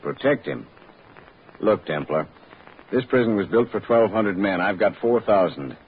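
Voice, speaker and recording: A gruff worn-out prison warden, deep voice, blunt and bitter, muffled old radio recording. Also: male voice, lively intonation, a little slow, slight background noise. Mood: bitter, exasperated. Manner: blunt, clipped, exasperated. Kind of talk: old-time radio drama acting.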